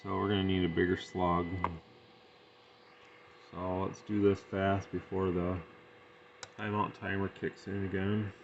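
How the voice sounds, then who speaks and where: Low-pitched, monotone man with gravelly fry. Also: measured pace, pauses often. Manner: matter-of-fact, thinking aloud. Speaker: radio hobbyist demonstrating and testing equipment. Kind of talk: casual off-the-cuff product demonstration. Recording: dull lo-fi sound with faint noise.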